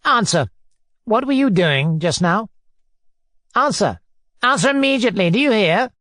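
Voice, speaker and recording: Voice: medium pitch, animated, unhurried, fairly bright tone, dry recording, studio quality, voice pushed up. Reader playing a pompous, bullying man. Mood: hectoring, imperious. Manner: pompous, majestic nonchalance, hectoring questions. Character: pompous, bullying middle-aged man, sneering and self-important. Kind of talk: audiobook character dialogue.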